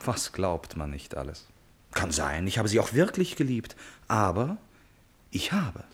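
An actor playing an impulsive, self-absorbed prince in love, in a classic German radio play, with theatrical exclamations and musing asides. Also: male voice, sits low, huge pitch swings, a little slow, slightly rough, exaggerated voice. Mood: musing, wistful.

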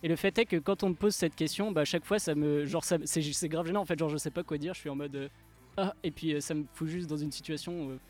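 Young guy from andalusia, spanish his first language, lots of ums, slightly high voice, music faint behind. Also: steady tone, fast, few pauses, darkish tone. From Andalusia, Spain. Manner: hesitant, many fillers, laughs often. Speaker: mystery guest. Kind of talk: casual radio chat. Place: student radio studio.

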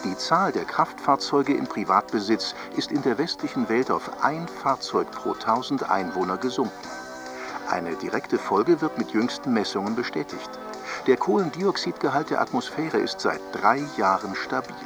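A polished, upbeat official newsreader in a futuristic radio play, played by a man, delivers a formal bulletin. His medium-pitched voice moves in lively swings over clearly audible music. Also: moderate pace, close to an everyday voice. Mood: official, optimistic. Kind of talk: scripted news bulletin in radio drama. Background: music bed.

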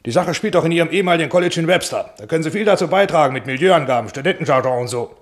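A man's voice: fairly high pitch, lively intonation, racing, natural voice. Pompous film studio boss, acted by a man. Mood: self-satisfied, patronizing. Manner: pompous, patronizing, self-satisfied. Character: self-important, jovial middle-aged male film studio boss. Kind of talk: radio drama acting.

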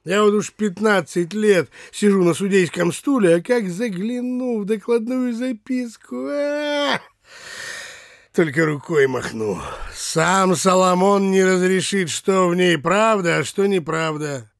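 A man's voice: high voice, measured pace, gravelly, no room sound. A man playing a complacent, self-assured provincial judge in a theatrical comedy audio play. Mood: smug, unworried.

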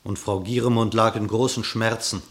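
A man's voice: lowish voice, normal speed. A man narrating a verse fable in a radio play, telling it expressively. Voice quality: expressive storytelling, dramatic.

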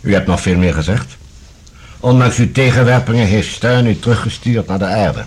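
An actor playing a composed, authoritative security general in a radio drama, speaking formally and courteously but firmly, with a husky voice. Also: male voice, fairly low pitch, moderate pace, darkish tone. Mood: measured, guarded. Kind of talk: Dutch radio drama acting.

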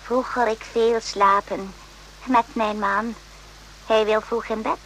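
A woman's voice: on the high side, fairly even intonation, slow, a bit bright. A woman playing an old Vietnamese servant widow in a radio drama, speaking in broken, clipped pidgin phrases. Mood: flat, resigned. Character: old Vietnamese widow, terse, worldly-wise servant in army barracks. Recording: noisy hummy old radio recording.

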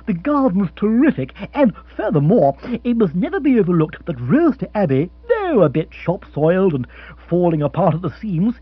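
A booming, joke-cracking upper-class man, as a male audiobook reader puts him on. The husky voice sits high and swings widely, full of chuckling asides. The recording is dry and a little dull. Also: big pitch swings, normal speed, dry recording, exaggerated voice. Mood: jovial. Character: hearty, loud, jokey upper-class man. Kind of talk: audiobook character voice.

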